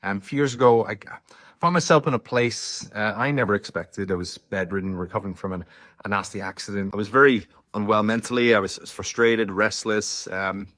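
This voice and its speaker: A man's voice: on the low side, fairly even intonation, brisk, a bit bright, dry recording. A man in his forties with a Northern Irish accent, an author talking earnestly about his work, with frequent ums and restarts. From Northern Ireland. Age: 40s. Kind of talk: direct-to-camera talk.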